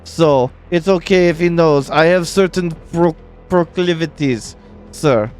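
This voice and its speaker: A man's voice: on the high side, a little slow, a little mellow, faint music behind, lightly acted. A man voicing a brash, pushy Russian man in a fake Russian accent, speaking broken, theatrical English. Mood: menacing. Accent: put-on Russian.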